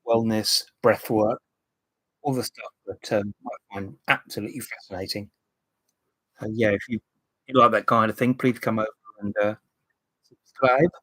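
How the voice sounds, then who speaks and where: A man in his late fifties hosts a relaxed live stream, rambling from one topic to the next and picking up viewers' comments as they come in. His voice is slightly husky, and the sound is close and dry. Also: fairly low pitch, dry recording, low-quality recording. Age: 50s. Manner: rambling, chatty, reads and answers viewer comments. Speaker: livestream host. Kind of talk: casual live-stream chat.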